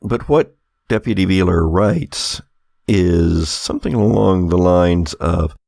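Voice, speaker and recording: A man's voice: low-pitched, slow pace, vocal fry, darkish tone, no room sound, studio quality. A man running a tabletop horror game as game master, narrating and explaining in a conversational way.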